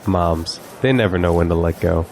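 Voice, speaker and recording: An actor playing a confident, sarcastic young college graduate in an audio drama, with wry, joking delivery. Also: male voice, low voice, normal speed, a little mellow. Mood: wry, casual. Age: young adult. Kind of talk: scripted audio drama dialogue. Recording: faint background noise, outdoor crowd ambience.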